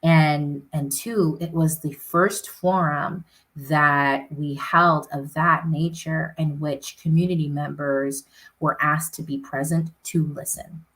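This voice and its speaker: Low-pitched woman, city councilor, earnest interview answers, checks in with "right?". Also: steady tone, takes it slowly, a bit bright, rough recording. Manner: earnest, tags points with "right?".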